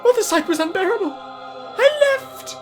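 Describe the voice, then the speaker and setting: A woman's voice: very high voice, animated, unhurried, soft background music. A woman voicing a flamboyant, melodramatic teenage boy club leader in an anime parody dub, with grandiose delivery. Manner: theatrical, grandiose.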